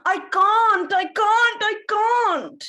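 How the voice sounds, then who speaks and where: A woman's voice: extremely high pitch, extremely slow, bright, forceful tone, heavily acted. A woman playing an older, meddling widowed mother, dry and dramatic, in a comedy table read. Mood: dramatic refusal. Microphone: video call.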